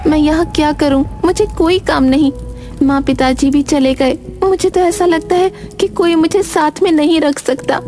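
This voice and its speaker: Woman plays forsaken young girl for storybook tale: very high, childlike, plaintive, music behind. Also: moderate pace, darkish tone, close to an everyday voice. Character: sad, forsaken young girl, eager to please.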